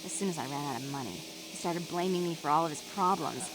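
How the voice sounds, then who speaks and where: A woman's voice: sits low, fast, background noise, close to an everyday voice. An actress voicing a jaded, blunt young woman in a video game, exasperated and plain-spoken. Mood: exasperated, bitter. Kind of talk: video game character dialogue.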